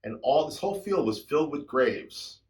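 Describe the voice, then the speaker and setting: A man's voice: a little high, expressive, normal speed. Reflective man in his sixties. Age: 60s. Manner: introspective storytelling, soft trailing endings. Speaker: drummer and channel host. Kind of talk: reflective personal talk to camera.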